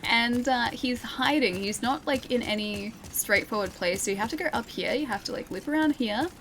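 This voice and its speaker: A young woman from Australia chats and giggles through a live game stream, talking to her viewers as she plays. Her voice sits a little high. A faint hiss runs behind her. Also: normal speed, a bit bright, rough recording. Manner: chatty and giggly, talks to chat. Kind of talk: casual live-stream commentary.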